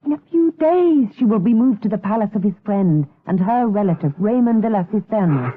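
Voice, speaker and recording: An actress playing a cool, scheming temptress in a gothic radio drama, measured, insinuating and commanding. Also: female voice, mid-range voice, expressive, slight background noise, natural voice. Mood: sinister, commanding. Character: cool, scheming temptress coaxing a monk to evil. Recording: tinny, phone-like radio drama recording.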